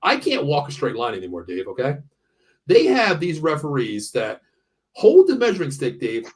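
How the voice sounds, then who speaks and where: A man's voice: a little high, huge pitch swings, normal speed, slightly rough. An American man in his early fifties hosting a casual sports show, talking bluntly and often repeating himself. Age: early 50s. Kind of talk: casual sports banter with ad reads.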